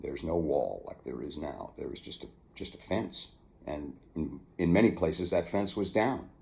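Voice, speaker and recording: An older man telling stories from his own memoir, with an unhurried, halting delivery. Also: very deep voice, lively intonation, creaky, darkish tone. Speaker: author narrating own memoir. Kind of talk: casual storytelling, then reading aloud from a book.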